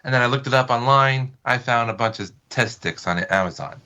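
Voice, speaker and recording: A man's voice: mid-range voice, a little fast, bright, forceful tone, lo-fi sound. A man as a podcast guest, explaining things in casual, loosely phrased chat with tentative wording.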